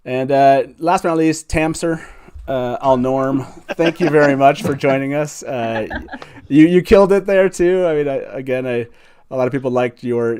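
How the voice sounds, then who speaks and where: A man's voice: mid-range voice, a little mellow, smooth. A man with an informal, enthusiastic manner hosting a casual fan livestream chat over a video call. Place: live online video call.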